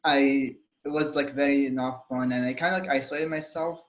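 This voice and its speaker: A man's voice: mid-range voice, steady tone, normal speed, echoey room, highs cut off. A young man from Saudi Arabia, a student on a college panel, talking casually with many fillers and restarts. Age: young adult. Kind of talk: casual panel talk on a video call.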